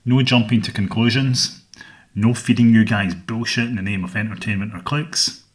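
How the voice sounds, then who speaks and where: Measured Scottish man, low voice. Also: steady tone. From Scotland. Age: middle-aged. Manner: measured, earnest, thoughtful. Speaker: podcast host. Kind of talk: solo podcast narration.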